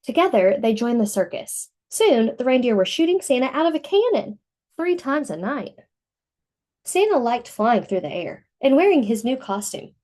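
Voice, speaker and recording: A woman reading a picture book aloud to young children in an expressive storytelling manner. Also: fairly high pitch, moderate pace.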